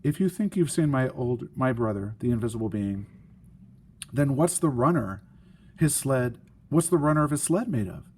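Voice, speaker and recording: A man's voice: medium pitch, lively intonation, normal speed, darkish tone, slight background noise, lightly acted. A man voicing a stern, shrewd woman guardian in a storybook, firm and questioning. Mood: testing.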